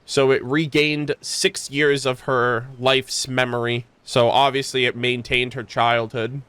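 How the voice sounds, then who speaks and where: A woman streamer giving casual, expressive reaction commentary on a game, informal and prone to swearing. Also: rumbling low, a little slow, clear, resonant tone, no room sound.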